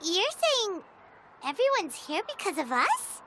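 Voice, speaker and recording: A woman's voice: extremely high pitch, very animated, a little slow, bright, forceful tone, exaggerated voice. A woman voicing a small, childlike, chirpy floating companion in a video game. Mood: curious. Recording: noticeable background noise.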